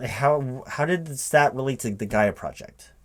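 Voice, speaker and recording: A man's voice: mid-range voice, expressive, measured pace, slight background noise, pitched above the performer's own voice, close to an everyday voice. A man playing a flustered but polite recruiting manager in a one-sided ASMR interview roleplay, hesitating, repeating words and asking puzzled questions. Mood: bemused, questioning. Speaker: job interviewer. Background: computer keyboard typing.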